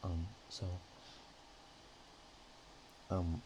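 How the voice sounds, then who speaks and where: Man playing a low, mellow, shy dragon. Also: level tone, a little hiss or hum, rough recording. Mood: shy, nervous. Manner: hesitant, halting, softly rambling. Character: naive, nervous, talkative, affectionate dragon seeking cuddles. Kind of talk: roleplay ASMR.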